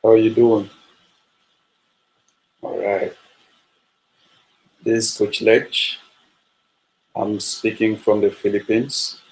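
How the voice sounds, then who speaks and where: A man speaks in a low voice that barely rises or falls. He is a football coach, talking at a steady, measured pace with frequent ums and uhs, and he keeps circling back to the same points. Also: creaky, rough recording. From Nigeria. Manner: measured, repetitive, with frequent fillers. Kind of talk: spoken coaching talk.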